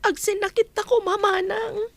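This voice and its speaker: High-voiced distressed young housemaid. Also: female voice, little pitch movement, moderate pace, vocal fry, a bit bright, no room sound, full character voice. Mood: pleading. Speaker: radio drama actor. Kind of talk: Ilocano radio drama acting.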